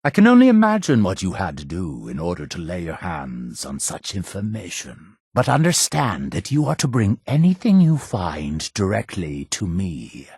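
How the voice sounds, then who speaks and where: An actor playing a zealous, imperious male cult leader in a video game, speaking in solemn, deliberate, preacher-like oratory with a gravelly, husky voice. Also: deep voice, huge pitch swings, darkish tone, dry recording, clean studio sound, heavily acted. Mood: stern, guarded. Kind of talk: video game character dialogue.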